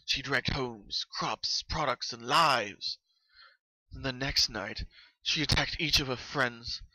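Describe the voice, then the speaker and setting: A man narrates a dark horror story in a solemn, dramatic way. His voice is very bright and tense, at a medium pitch, and recorded very dry. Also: takes it slowly, no room sound, rough recording. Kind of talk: dramatic reading of horror fan fiction.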